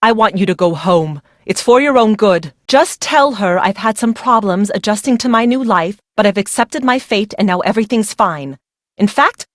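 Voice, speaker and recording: A woman's voice: mid-range voice, no echo, clean studio sound, close to an everyday voice. An actress playing a secretive, fatalistic young woman in a mystery video game, unwell, irritable and guarded. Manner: guarded, brooding, dramatic. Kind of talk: scripted video game character dialogue.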